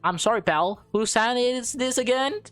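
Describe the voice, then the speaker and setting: A woman voicing a cheeky, excitable teenage prankster from a visual novel in a teasing, exclamatory way. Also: a little high, moderate pace, no room sound, faint music behind, voice pushed up, natural voice.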